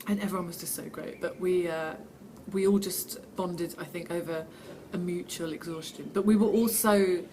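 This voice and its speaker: Fields: Sex: woman. Role: actress. Pitch: lowish. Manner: chatty, self-deprecating. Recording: noisy. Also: moderate pace, few pauses. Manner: chatty and self-deprecating, reads the questions out herself. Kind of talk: casual interview answers. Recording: steady background noise.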